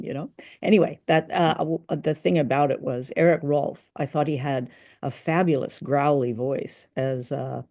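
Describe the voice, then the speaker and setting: A woman's voice: low voice, darkish tone, no echo, clean studio sound. A woman co-hosting a podcast, talking casually and conversationally about old radio drama. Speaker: podcast co-host.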